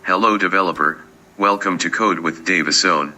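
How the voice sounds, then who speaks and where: Deep, very bright synthetic male voice. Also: normal speed. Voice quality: robotic, synthetic. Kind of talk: synthetic text-to-speech reading.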